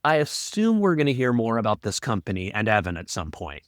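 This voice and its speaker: A man's voice: fairly low pitch, wildly swooping pitch, fairly quick, no room sound. A man co-hosting a casual podcast discussion as its audio producer, with dry, teasing banter.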